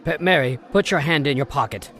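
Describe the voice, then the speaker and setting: A man's voice: fairly high pitch, fairly quick, a bit bright, some chatter behind, close to an everyday voice. An actor playing a blustering, dismissive father who scoffs and speaks brusquely, in an old-time radio drama.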